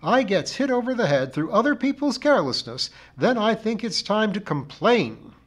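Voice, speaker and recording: A man's voice: high-pitched, sing-song, normal speed, a bit bright, voice pushed up, heavily acted. A man voicing a belligerent, self-pitying village cleaning woman with a rural English accent, declaiming her grievances in a storybook reading. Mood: aggrieved, rising. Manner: declamatory, rhythmic, dropped g's, indignant.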